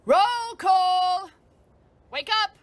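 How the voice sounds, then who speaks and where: A woman's voice: very high voice, very slow, full character voice. An actress playing a brash, bossy young woman ambulance driver in an audio drama, blunt and exclamatory, recorded in a noisy scene. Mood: urgent, bossy.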